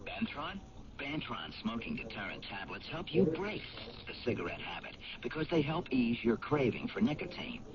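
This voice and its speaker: A man's voice: big pitch swings, normal speed. An actor playing a father who smokes in a 1970s radio ad. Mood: folksy. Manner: folksy, pitchy. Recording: dull lo-fi radio, crowd noise.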